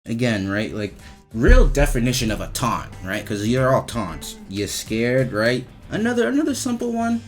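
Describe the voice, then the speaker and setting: A man giving casual, slangy, profane commentary as he rates video game voice lines, with an animated, emphatic delivery. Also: mid-range voice, normal speed, faint music behind.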